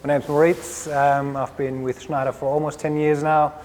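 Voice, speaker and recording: German-accented man speaking in a flat tone. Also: a little high, normal speed, a little hiss or hum. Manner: casual, self-deprecating, with fillers like "you know". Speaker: product specialist presenting. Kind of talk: live industry presentation. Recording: long hall reverb.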